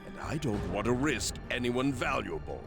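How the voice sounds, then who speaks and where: A man's voice: medium pitch, very animated, moderate pace. A man playing a suave, menacing crime boss in a video game, formal and coolly condescending in his politeness. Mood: coldly commanding. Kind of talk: video game cutscene acting. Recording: loud music under the voice.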